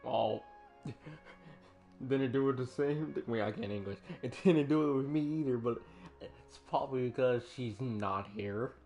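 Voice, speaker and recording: A man's voice: medium pitch, normal speed, a little mellow. A young man streaming himself playing a video game, commenting casually, chuckling often and talking back to the characters. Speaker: gaming streamer. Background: game music.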